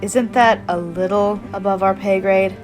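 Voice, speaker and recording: A level-headed heroine acted by a woman in an audio drama. She sounds doubtful and questioning in a mid-range voice, with soft music underneath. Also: medium pitch, steady tone, moderate pace, natural voice. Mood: sceptical. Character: sceptical, level-headed female superhero.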